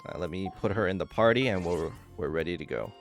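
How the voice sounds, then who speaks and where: Low-voiced young man, casual gameplay commentary. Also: quick pace. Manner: low-key, thinking aloud. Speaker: gaming video creator. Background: game music.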